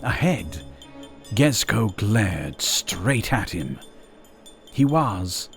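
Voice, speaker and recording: A man's voice: lowish voice, big pitch swings, drawn-out words, vocal fry, soft background music. A man narrating an audio drama in a scripted, dramatic storytelling style.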